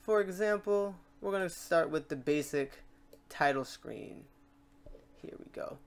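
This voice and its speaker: A man hosting a software tutorial in a casual, chatty way, talking through each step aloud. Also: fairly high pitch, a little slow, smooth. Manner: informal, chatty, talks through steps aloud. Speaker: tutorial host.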